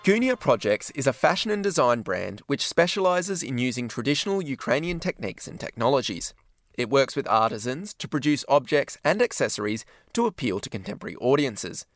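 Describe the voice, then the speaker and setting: A male presenter reading scripted news links in a measured, formal way. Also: medium pitch, sing-song, no echo, clean studio sound, faint music behind.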